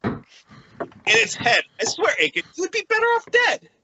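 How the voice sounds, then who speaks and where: A man's voice: very high-pitched, huge pitch swings, moderate pace, sharp tone, lo-fi sound, heavily acted. A man playing a griping squad soldier in a horror film table read. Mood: exasperated.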